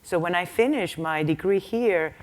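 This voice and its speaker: A woman's voice: lowish voice, moderate pace. A woman on a conference panel, a tech manager who came as an international student, giving direct, encouraging advice. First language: not English. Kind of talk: panel discussion.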